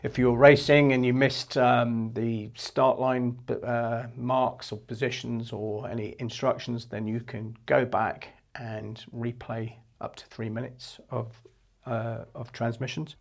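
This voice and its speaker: Middle-aged man with a gravelly voice. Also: mid-range voice, level tone, takes it slowly. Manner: explanatory, matter-of-fact. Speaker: product presenter. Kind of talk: informal product overview and demonstration.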